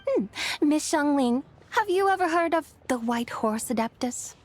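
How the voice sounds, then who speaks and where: A woman's voice: high-pitched, expressive, moderate pace, faint music behind. An actress voicing a haughty, aloof female immortal in a video game, speaking in a lofty, formal way. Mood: self-assured.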